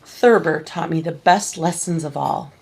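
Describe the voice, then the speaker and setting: A woman reading a children's picture book aloud at a church service, gently and slowly, with small restarts. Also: low-pitched, creaky, slight background noise. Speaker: story reader at a church service.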